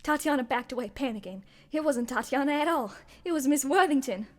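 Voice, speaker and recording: A woman's voice: high voice, animated, brisk. A woman playing a journalist who narrates a murder mystery in a scripted, dramatic radio play style. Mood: dramatic suspense.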